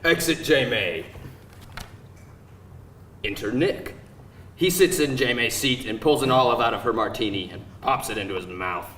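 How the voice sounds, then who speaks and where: A man's voice: mid-range voice, moderate pace, long pauses, a bit bright. A man reading screenplay stage directions aloud as narrator at a live table read. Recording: roomy live event, distant mic, faint noise.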